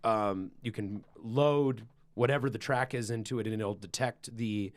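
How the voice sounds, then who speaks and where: A middle-aged man with a loud, carrying voice, hosting a casual podcast chat and telling stories in an animated, rambling way. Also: fairly low pitch, a little slow. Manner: rambling, animated storytelling with restarts. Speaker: podcast host and musician.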